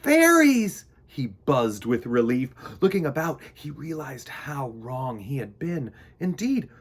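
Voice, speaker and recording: A man reading a children's picture book aloud in an expressive, theatrical storytelling manner. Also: medium pitch, measured pace, slightly rough.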